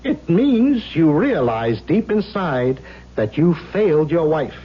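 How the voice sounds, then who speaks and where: Animated probing doctor from old radio. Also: male voice, on the high side, breathy edge, full character voice. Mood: probing, insistent. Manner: deliberate, pointed, analytical. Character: probing, challenging male doctor lecturing his patient. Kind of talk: old-time radio drama acting. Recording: muffled, humming old radio recording.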